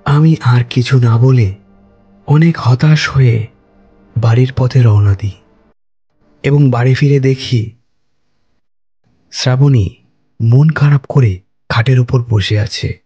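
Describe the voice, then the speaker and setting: A man telling a first-person ghost story for a cartoon, calm and reflective. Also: medium pitch, takes it slowly, long pauses, breathy edge, dull audio, faint music behind.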